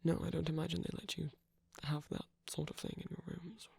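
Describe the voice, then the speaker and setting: A performer voicing a soft-spoken villain in an intimate romantic ASMR roleplay, gentle, protective and hesitant, with a breathy, husky, often whispered delivery. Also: medium pitch, flat delivery, brisk, heavily acted. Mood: affectionate, coaxing. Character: soft-spoken villain, tender and protective toward abandoned hero.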